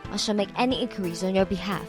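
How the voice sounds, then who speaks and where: A woman's voice: mid-range voice, racing, lightly acted. A woman voicing a strict, unyielding royal guard in a game fan dub. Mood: stern. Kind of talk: anime-style game fan dub acting. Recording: music behind the voice.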